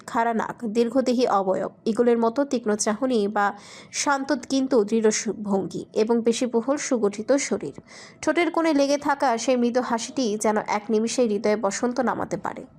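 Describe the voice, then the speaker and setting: A woman's voice: on the high side, darkish tone. A woman reading scripted romantic fiction as a narrator.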